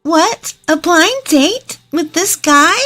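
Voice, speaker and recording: Woman acting a shrill, bossy older sister. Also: animated, takes it slowly, sharp tone, faint music behind, heavily acted. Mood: indignant. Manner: exaggerated, scornful, teasing. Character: bossy, snooty twenty-eight-year-old older sister.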